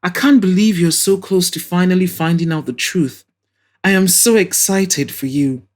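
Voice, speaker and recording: A woman voicing a bossy, affectionate young female best friend in an audio drama, excitable, emphatic and blunt. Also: mid-range voice, moderate pace, close to an everyday voice. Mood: delighted.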